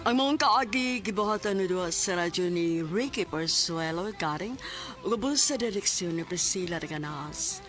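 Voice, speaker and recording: Cebuano-accented woman hosting over clear music. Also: fairly low pitch, lively intonation, moderate pace, fairly bright tone. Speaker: radio drama program host. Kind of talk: scripted radio narration.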